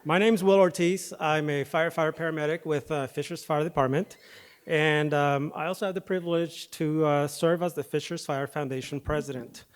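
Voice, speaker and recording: A man, a firefighter paramedic, giving a prepared speech at an awards ceremony podium, his voice a little off from recent dental work, starting with fillers and then settling into steady reading. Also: fairly high pitch, normal speed, smooth. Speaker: firefighter paramedic and foundation president. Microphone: podium microphone.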